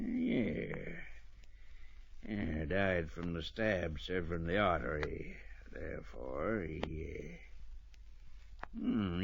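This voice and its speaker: Slow, swooping, fussy frontier doctor. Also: male voice, low voice, gravelly, slightly rough, full character voice. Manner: hesitant, muttering, pedantic, repeats himself. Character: fussy, morbidly keen frontier town doctor. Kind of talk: old radio western acting. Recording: dull old radio recording.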